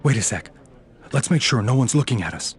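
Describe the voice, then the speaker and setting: An actor playing a suave, controlled undercover secret agent in a video game cutscene. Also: male voice, medium pitch, lively intonation, brisk, close to an everyday voice. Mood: guarded, polite. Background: music.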